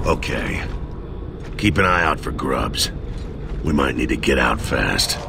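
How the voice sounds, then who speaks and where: Gravelly, gruff squad leader. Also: male voice, a bass voice, animated, unhurried, heavily acted. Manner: terse, commanding. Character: gruff, weary, commanding male soldier squad leader. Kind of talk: video game cutscene acting. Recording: loud music and vehicle noise behind.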